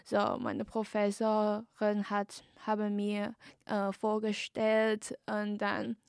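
A young woman from Taiwan, a guest student, answering podcast interview questions in careful learner's German, with many pauses, fillers and restarts. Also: medium pitch, monotone, gravelly, darkish tone, clean tone, dry recording, clean studio sound.

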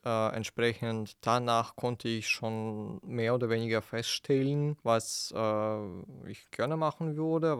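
A man's voice: medium pitch, clear, resonant tone, dry recording, studio quality. A young man from Ukraine in his twenties, a student guest in a casual podcast interview, chatty, with lots of fillers and self-corrections. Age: young adult. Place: small studio.

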